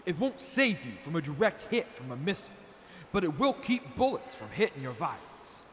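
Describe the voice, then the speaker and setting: Animated, high-voiced proud armorer played by a man. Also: normal speed. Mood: proud, assured. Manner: salesman-like, flattering, assured. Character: proud, showy, confident master armorer and quartermaster. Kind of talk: post-apocalyptic audio drama acting. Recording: long hall reverb, faint background noise.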